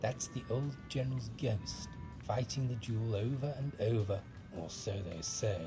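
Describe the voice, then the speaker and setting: Guy doing a posh-mannered gossipy house character for a game, medium pitch, music behind. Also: a little slow, close to an everyday voice, low-quality recording. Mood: nostalgic. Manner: genteel, storytelling. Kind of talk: video game character acting.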